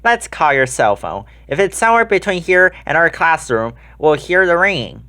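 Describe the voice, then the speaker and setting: A man voicing a clever, observant young schoolgirl detective in a children's storybook, earnest and deliberate. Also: a little high, very animated, pushed and bright. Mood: confident.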